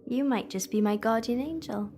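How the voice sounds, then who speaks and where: A woman's voice: on the high side, normal speed, warm tone, slight background noise, natural voice. A woman acting a grateful, questioning young companion of a time traveller in an audio drama, speaking plainly and conversationally. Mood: coaxing, grateful.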